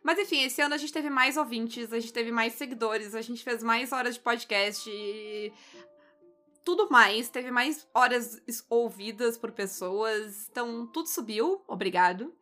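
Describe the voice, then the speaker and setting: A woman's voice: fairly high pitch, measured pace, sharp tone, soft background music. A woman co-hosting a podcast in casual chat, wry and analytical about the show's numbers. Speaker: podcast co-host.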